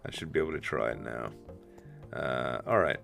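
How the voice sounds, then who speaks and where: A man with a deep voice, a bit rough from the morning, casually thinking aloud while building in a game he hosts. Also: moderate pace, fairly bright tone, soft background music. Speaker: let's play host. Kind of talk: casual gameplay commentary.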